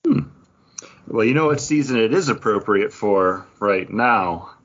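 A man chats casually as a podcast co-host, describing things in an easy conversational flow. His voice is a little rough and husky, with animated intonation. The audio is slightly muffled. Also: lowish voice, measured pace.

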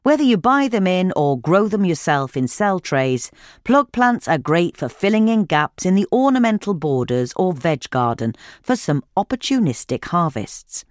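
A woman reading a scripted instructional piece in a measured, clearly enunciated way, as a magazine narrator. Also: lowish voice, big pitch swings, no echo, clean studio sound.

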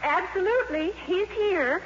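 A woman's voice: extremely high pitch, lively intonation, a little slow. An actress playing a dreamy, proud teenage schoolgirl with gushing, girlish talk, in 1950s radio style. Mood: forced breeziness. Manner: breathlessly gushing, girlish slang. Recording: muffled, noisy old radio recording.

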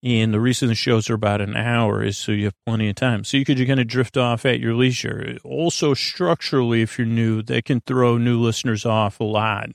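Creaky-voiced man rambling on a sleep podcast. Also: medium pitch, fairly even intonation, no room sound, clean studio sound. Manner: meandering, halting, self-interrupting. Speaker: podcast host.

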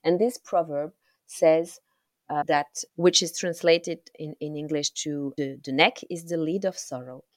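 Low-voiced woman, halting speech. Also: no echo. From Rwanda. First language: Kinyarwanda. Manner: halting, repeats words as she searches for them. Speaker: author, guest. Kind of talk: interview answers about her novel.

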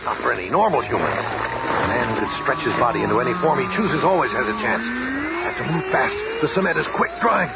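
An actor playing an earnest, quick-thinking superhero leader who thinks aloud melodramatically in a radio drama. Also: male voice, mid-range voice, sing-song. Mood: urgent, determined. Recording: dull, noisy old radio recording.

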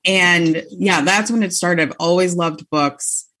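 A woman's voice: fairly low pitch, steady tone, measured pace, sharp tone. A woman in her thirties, a guest author in a casual interview, talking in a chatty way with many fillers, restarts and laughs.

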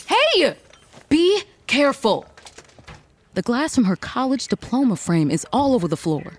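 Woman acting an indignant, stressed wife, high and sharp. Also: wildly swooping pitch, unhurried, heavily acted. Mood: angry, indignant. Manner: sarcastic, defiant. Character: indignant, stressed married woman writer under investigation. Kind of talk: dramatized audio fiction.

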